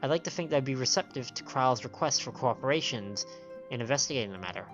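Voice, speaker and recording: Androgynous streamer voice, middle pitch, reading game dialogue aloud, stumbling over words, dull lo-fi mic, music behind. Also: expressive, fast talker. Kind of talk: live game stream commentary and read-aloud. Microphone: microphone on a stand.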